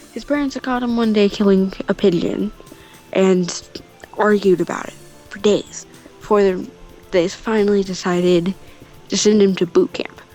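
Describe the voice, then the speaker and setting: A woman's voice: medium pitch, steady tone, a little slow, pauses often, a little mellow, soft background music, rough recording. A woman telling a story casually and off the cuff, rambling, with offhand asides. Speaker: storyteller on a gaming channel.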